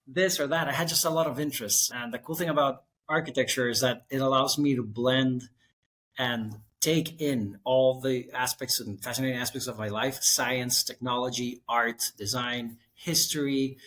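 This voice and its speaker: A man in his thirties from Costa Rica, an architect guest telling his career story in a relaxed, winding way. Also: mid-range voice, moderate pace. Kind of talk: conversational interview.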